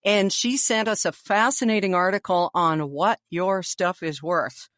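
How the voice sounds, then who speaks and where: A woman's voice: lowish voice, a little slow, fairly bright tone, no echo, clean studio sound. A middle-aged woman with a Canadian accent co-hosting a podcast, chatting casually in a reflective, slightly wry, conversational way. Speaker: podcast co-host. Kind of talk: casual chat.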